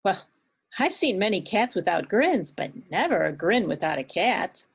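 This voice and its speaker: A woman's voice: medium pitch, very animated, unhurried, vocal fry, husky, heavily acted. A woman voicing a polite, prim little girl who is easily offended, in a library puppet show.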